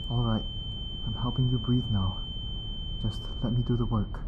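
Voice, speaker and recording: Casting: male actor as urgent, caring field medic for audio drama; extremely animated pitch, pleading and commanding, clear background noise. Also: normal speed, narrow, tinny audio. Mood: tense, pressing. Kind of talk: audio roleplay acting. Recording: clearly noisy, ambience behind voice.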